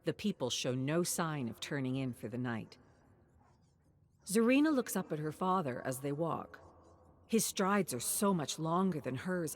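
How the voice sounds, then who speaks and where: A woman narrates a dramatic story in a measured, descriptive manner. Her voice is quite low for a woman. Ambient noise is clearly present behind her.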